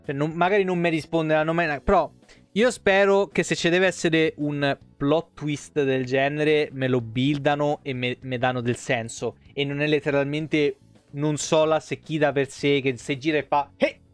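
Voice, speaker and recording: A man's voice: on the high side, normal speed, clear, resonant tone, dry recording, faint music behind. A man in his thirties with a Roman Italian accent, chatting casually as a game streamer, rambling and swearing freely into a close desk microphone. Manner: profane, rambling, expressive. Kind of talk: casual chatty livestream commentary. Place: small room at home.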